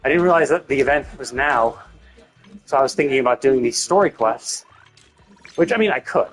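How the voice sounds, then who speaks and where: A man livestreaming a game with casual, rambling commentary, thinking out loud, cutting himself off and swearing freely. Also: mid-range voice, animated, a little fast, faint music behind, rough recording. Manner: casually profane. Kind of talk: casual gameplay stream commentary.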